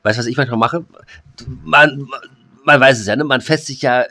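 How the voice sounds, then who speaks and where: A man's voice: mid-range voice, moderate pace, bright, forceful tone, no echo. A man co-hosting a podcast over a video call, joking bluntly and crudely. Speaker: podcast co-host.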